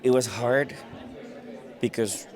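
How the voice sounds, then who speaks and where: A man's voice: mid-range voice, takes it slowly. A man whose first language is Spanish, telling his story in a casual interview at a conference and acting out the voices of people he quotes. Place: busy conference hall. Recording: conference crowd chatter behind.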